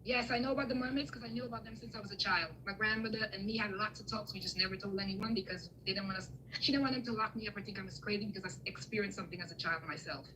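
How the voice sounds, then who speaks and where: A woman's voice: mid-range voice, racing, few pauses, pushed and bright, a little hiss or hum, poor audio. A woman from Guyana giving a fervent, emotional spiritual testimony on a live call, repeating herself as she preaches. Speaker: guest speaker on a live video call.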